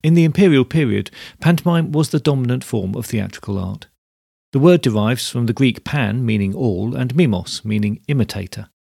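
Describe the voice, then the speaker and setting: A man's voice: medium pitch, expressive, moderate pace, gravelly, warm tone, slightly rough, no room sound, clean studio sound. A man reading scripted narration as the host of a podcast.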